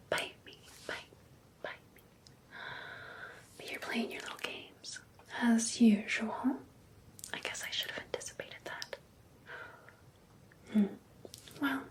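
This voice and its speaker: Slow whispering obsessed vampire ex-girlfriend, acted by a woman. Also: fairly high pitch. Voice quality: soft, breathy whisper. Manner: slow, coaxing, teasing, lingering on endearments. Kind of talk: whispered ASMR roleplay.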